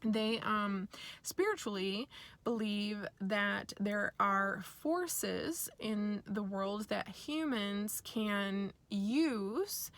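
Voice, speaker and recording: A woman speaks at a slow, deliberate pace with a mid-range pitch. She gives a reflective talk on personal and spiritual matters, often pausing partway through a phrase. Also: vocal fry, fairly bright tone. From born and raised in Nigeria to American parents. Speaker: speaker sharing personal origin story.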